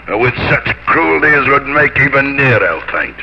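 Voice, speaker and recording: A weathered seaman with a gravelly voice that swings widely in pitch. He is bitter and fervent, a survivor hungry for vengeance, in an old radio drama with muffled sound. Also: animated, moderate pace, pushed and bright, a little hiss or hum, exaggerated voice. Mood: bitter, haunted. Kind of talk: 1950s radio drama acting. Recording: muffled 1950s radio broadcast with music.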